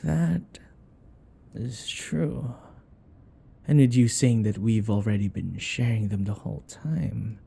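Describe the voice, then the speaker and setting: A man's voice: on the low side, slow, gravelly, pauses often, dark tone, slightly rough, heavily acted. A man playing a jealous, possessive vampire lover in an ASMR roleplay, with a weary, drawling delivery. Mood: reluctant, wry.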